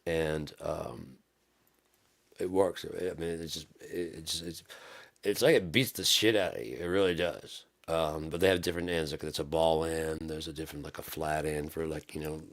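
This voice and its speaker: A man with a deep, bassy voice rambling loosely to his channel's viewers, with lots of restarts and swearing. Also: steady tone, normal speed, creaky, no room sound. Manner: loose, profane, meandering with many restarts. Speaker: channel host. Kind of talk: rambling casual vlog.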